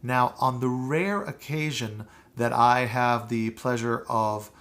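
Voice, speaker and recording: A man's voice: medium pitch, slow pace, fairly bright tone. Chatty middle-aged man giving a tour. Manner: chatty, enthusiastic, with frequent "uh" and "you know". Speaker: tour guide. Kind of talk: casual video tour talk to camera. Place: small living room with bookshelves, indoors.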